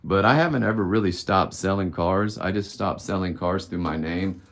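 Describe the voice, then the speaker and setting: A man's voice: low voice, normal speed. A man around fifty hosting a casual live-stream Q&A, candid and blunt, rambling and swearing casually. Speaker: livestream host answering viewer questions.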